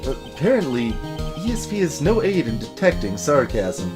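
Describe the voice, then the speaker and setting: A man's voice: medium pitch, sing-song, normal speed, over music, voice pushed down, close to an everyday voice. A man voicing a sardonic young defense lawyer in a video game, dry and given to stammering when flustered. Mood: dry, sarcastic.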